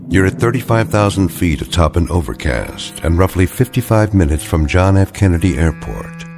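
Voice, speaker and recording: A man as the measured, ominous narrator of a scripted suspense radio drama. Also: low-pitched. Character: ominous, measured male storyteller.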